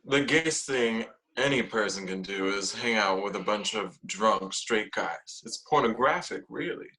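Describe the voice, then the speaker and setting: Man acting a slow, catty young man. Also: fairly low pitch, sharp tone, breathy edge, full character voice, poor audio. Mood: deadpan musing. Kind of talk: script read-through acting.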